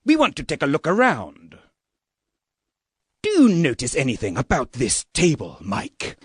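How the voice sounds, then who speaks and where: A man's voice: on the high side, huge pitch swings, unhurried, husky, dry recording, clean studio sound, heavily acted. A man playing a formal, methodical police sergeant in a radio murder mystery, with an officious manner. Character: brisk, methodical police sergeant investigating a death.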